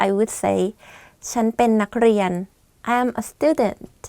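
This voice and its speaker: A woman's voice: a little high, very fast, creaky, darkish tone, dry recording. A Thai woman in her twenties with a Thai accent, giving a casual language lesson full of "like" and "I mean". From Thailand. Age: 20s. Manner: chatty, many "like" and "I mean" fillers. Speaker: language teacher.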